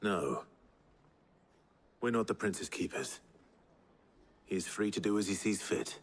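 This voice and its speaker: An actor playing a stoic, brooding fantasy hero in a video game, terse and earnest. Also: male voice, on the low side, animated, slow pace. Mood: firm. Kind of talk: cinematic video game acting. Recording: music behind the voice.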